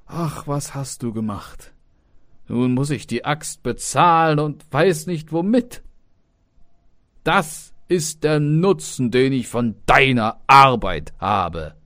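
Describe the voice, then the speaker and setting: An irascible old peasant father from a fairy tale, as a male narrator plays him. He scolds loudly and scornfully, with indignant emphasis, his pitch raised and swinging widely. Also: expressive, drawn-out words, hardly any pauses, no room sound, clean studio sound. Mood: angry, scolding.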